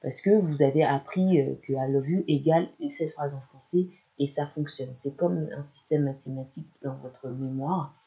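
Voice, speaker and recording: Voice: mid-range voice, normal speed, mellow, highs cut off. A language channel presenter giving an explanatory talk that speaks straight to the listener. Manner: explanatory, addresses listener directly. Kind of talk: educational talk to camera.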